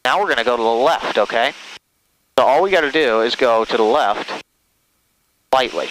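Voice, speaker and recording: A man's voice: medium pitch, expressive, moderate pace, fairly bright tone. A man giving a hands-on flying lesson over a headset, coaching step by step, reassuring and often checking that the student follows. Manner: reassuring, repeatedly checks understanding with short tag questions. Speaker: flight instructor. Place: inside a small airplane in flight. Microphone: aviation headset. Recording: noisy small airplane cockpit, engine and radio. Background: aircraft engine drone, radio.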